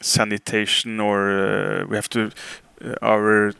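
A man's voice: lowish voice, completely flat delivery, slow, creaky, bright, forceful tone, clear, resonant tone. A Norwegian man hosting a casual podcast chat in English, speaking with a Norwegian accent and many hesitant fillers. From Norway. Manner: hesitant, filler-heavy, laughs easily. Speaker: podcast host. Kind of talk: casual chat over a call.

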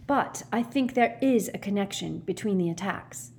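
A woman voicing a brainy, earnest teenage girl who reasons quietly and precisely in a storybook. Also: mid-range voice, normal speed, gravelly, a little hiss or hum, pitched above the performer's own voice, close to an everyday voice. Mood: brooding.

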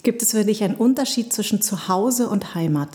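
A woman from Germany hosting a podcast, reading a measured intro and asking clear questions. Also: medium pitch, expressive, warm tone. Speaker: podcast host. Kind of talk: scripted intro then interviewing.

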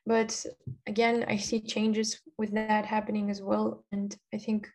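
A young woman from Kazakhstan whose first language is Kazakh, answering interview questions casually and often pausing on "like" while searching for words. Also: medium pitch, completely flat delivery, darkish tone. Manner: chatty, many "like" fillers, searches for words. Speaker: interview guest.

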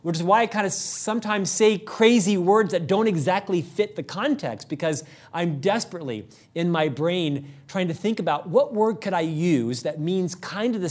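Middle-aged male host, rather high voice, quick and brisk, slight stutter; leadership monologue. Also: darkish tone. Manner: fast, brisk and upbeat, with occasional stutter slips. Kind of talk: scripted monologue to camera.

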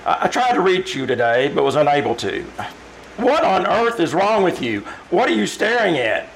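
A man's voice: high-pitched, sing-song, moderate pace, creaky, fairly bright tone, slight background noise, exaggerated voice, rough recording. An actor playing a flustered, defensive man with a secret, stammering and indignant in old-time radio drama style.

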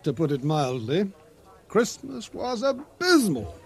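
An actor with a British accent playing a sardonic, self-pitying English instructor in a radio drama, dry and hesitant in delivery. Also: male voice, sits high, very animated, slow, husky, full character voice. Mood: bitter, glum. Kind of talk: radio drama dialogue acting.

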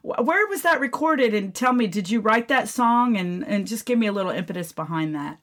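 A woman's voice: mid-range voice, lively intonation, fairly quick, fairly bright tone. A middle-aged American woman hosting a casual radio interview, chatty and effusive as she talks. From Florida, United States.

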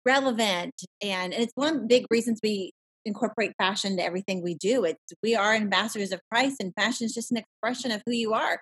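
A woman's voice: mid-range voice, fast, a bit bright, husky, low-quality recording. A woman hosting a podcast, chatting in an upbeat, encouraging way with her guest over a video call. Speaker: podcast host. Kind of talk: casual interview chat.

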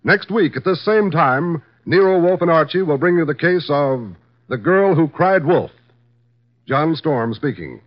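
A male announcer giving a measured, formal closing announcement. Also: fairly high pitch, animated. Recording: dull lo-fi 1950 radio transcription. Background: music.

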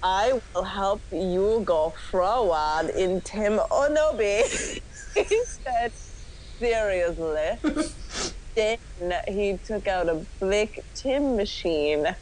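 A woman's voice: medium pitch, animated, extremely slow, darkish tone, a little hiss or hum, poor audio. A woman giving a comic dramatic reading of fan fiction, often giggly as she goes. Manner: giggly, often breaking into laughter. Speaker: podcast host doing a dramatic reading.

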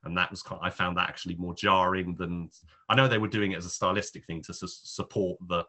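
A man's voice: deep voice, level tone, quick pace, sharp tone. A man reviewing films on a podcast in casual talk, thinking aloud in rambling, hesitant clauses. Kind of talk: casual spoken film review.

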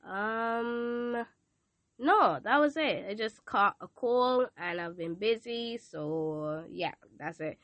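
A woman's voice: a little high, lively intonation, slow pace, fairly bright tone, no room sound. Nasal teen Barbadian girl with a cold. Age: teens. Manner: chatty, playful, asks listeners questions. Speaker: podcast host. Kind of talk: casual devotional podcast talk. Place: hot room with a fan.

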